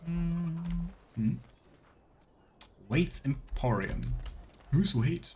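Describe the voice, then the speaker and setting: A man's voice: fairly high pitch, extremely slow, darkish tone, slight background noise. A man streaming a video game with casual let's play commentary, making wry, sarcastic asides.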